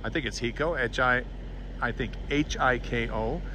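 A man's voice: medium pitch, normal speed, sharp tone, a little hiss or hum. Chatty trucker man outdoors. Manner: chatty, rambling, earnest, with fillers. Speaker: truck driver vlogger. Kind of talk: casual roadside vlog update. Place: outdoors by a parked truck in a canyon. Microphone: phone held at arm's length.

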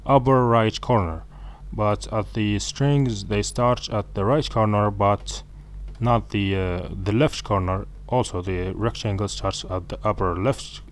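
A man whose first language is Arabic, walking through code in a screen-recorded programming tutorial, with frequent fillers and restarts. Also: fairly low pitch. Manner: halting, many fillers and self-corrections.

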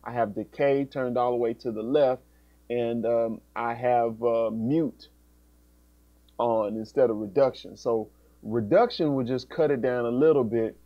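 A man's voice: mid-range voice, steady tone, a little slow. A man in his thirties with an American accent, explaining gear step by step in a casual, informal tutorial. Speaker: music instructor. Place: small music studio, indoors. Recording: dull sound with humming background noise. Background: electrical hum, fan noise.

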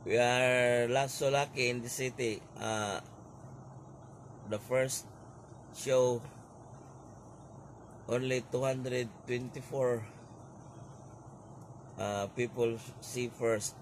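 A Filipino man in his forties vlogging excitedly from a bedroom into a phone held close, in halting English mixed with Filipino. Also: mid-range voice, slight background noise, rough recording. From Philippines. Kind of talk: casual selfie vlog. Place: small bedroom, indoors. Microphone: phone camera held close.

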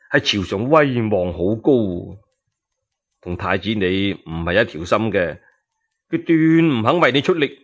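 A man voicing a grave, calculating chief minister in a radio storytelling drama, measured and conspiratorial. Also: lowish voice, husky, muffled recording, voice pushed down, heavily acted.

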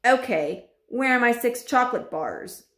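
A greedy, indignant storybook boy, played by a woman, blunt and petulant. The voice is raised in pitch and loud, in a slightly echoey room. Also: expressive, measured pace. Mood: demanding. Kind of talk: children's storybook character.

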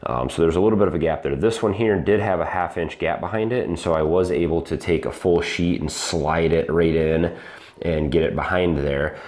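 A man in his thirties giving a casual walkthrough of his basement renovation, talking in a halting way with many ums and restarts, on a lapel mic. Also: low-pitched, a little mellow. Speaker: DIY home renovation vlogger. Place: unfinished basement, indoors. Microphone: lapel mic, handheld camera.

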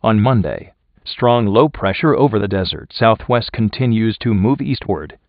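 A man's voice: fairly low pitch, lively intonation, moderate pace, no echo. A synthetic man's voice reading weather bulletins mechanically and impersonally, on a muffled radio recording. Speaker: automated weather radio announcer.

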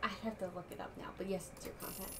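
A woman's voice: mid-range voice, fairly even intonation, racing, darkish tone, breathy edge. A woman chatting casually and telling stories while playing a board video game with her partner. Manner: chatty, giggly, storytelling. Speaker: co-player. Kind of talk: casual gaming chat. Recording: game music underneath.

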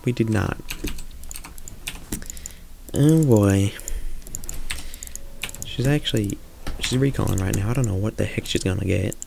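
A man's voice: lowish voice, unhurried, gravelly, long pauses, dark tone, slight background noise. A young man in a small room, giving chatty, joking commentary while he plays a video game and thinks aloud. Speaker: streamer. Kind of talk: live gameplay commentary.

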